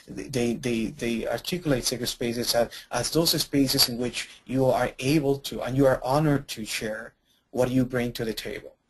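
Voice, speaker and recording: Male webinar presenter, somewhat husky, halting and full of restarts. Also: medium pitch, low-quality recording. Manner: halting, many fillers and restarts. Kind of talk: webinar talk.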